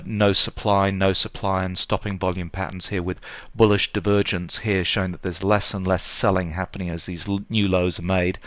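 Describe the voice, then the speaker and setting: Hoarse man, low flat voice. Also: no room sound. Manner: halting, many fillers and hedges. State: hoarse, sore throat. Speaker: market analyst. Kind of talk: chart analysis lesson.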